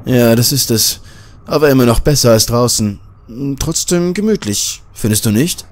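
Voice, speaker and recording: A man's voice: mid-range voice, lively intonation, normal speed, natural voice. Man playing a polite, chatty male journalist. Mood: reassuring. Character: polite, easygoing male journalist visiting a castle.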